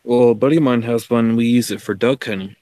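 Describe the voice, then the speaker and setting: A man chatting casually as a livestream guest, with a halting, self-deprecating way of talking. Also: mid-range voice, dark tone, dry recording. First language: English. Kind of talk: casual chat.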